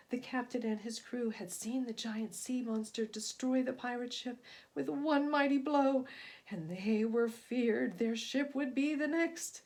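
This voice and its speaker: A woman reading a children's story aloud in an expressive, emphatic style, moving between hushed and booming delivery. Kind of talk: expressive scripted storybook narration.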